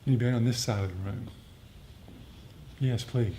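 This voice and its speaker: A man teaching meditation in a talk with questions and answers, speaking in a halting, reflective, explanatory way. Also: dark tone. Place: group meditation gathering. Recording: rumbly background noise.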